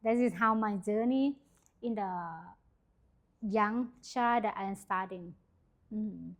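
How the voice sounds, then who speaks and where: A young Thai woman from the Northeast, telling her life story in a casual interview, in halting English with a Thai accent and many fillers. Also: medium pitch. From Thailand, Isan region. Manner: hesitant, many fillers, simple grammar. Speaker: interview guest sharing her testimony.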